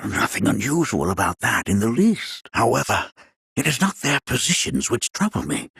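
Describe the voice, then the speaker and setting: A man playing a whimsical, absent-minded elderly scholar in a video game, raspy and creaky, speaking in formal, old-fashioned phrases. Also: medium pitch, very animated, moderate pace, fairly bright tone, no echo, full character voice. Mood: pensive, instructing. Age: older. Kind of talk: video game character acting.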